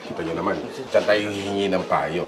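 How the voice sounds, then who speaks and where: A man with a Filipino accent playing a vain, eager would-be president in a comic satire sketch, talking haltingly and seeking approval. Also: sits low, fairly even intonation, heavy background noise, lightly acted. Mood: eager, scheming. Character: vain, eager mustachioed politician hoping to become president.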